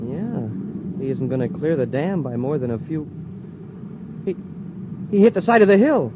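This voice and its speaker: A man playing an eager, excitable young space cadet sidekick in a 1950s radio adventure serial. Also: fairly high pitch, moderate pace, lightly acted. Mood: anxious. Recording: very noisy, dull old radio recording.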